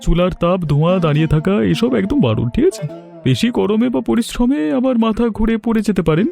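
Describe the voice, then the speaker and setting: A man voicing a stern but reassuring village doctor in an animated story. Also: sits high, fast, creaky, faint music behind. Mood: firm. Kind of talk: animated family story dialogue.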